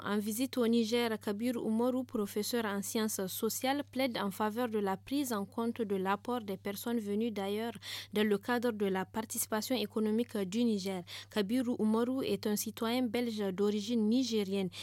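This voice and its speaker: A woman anchoring a radio news bulletin in a measured, formal way. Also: medium pitch, darkish tone, no room sound.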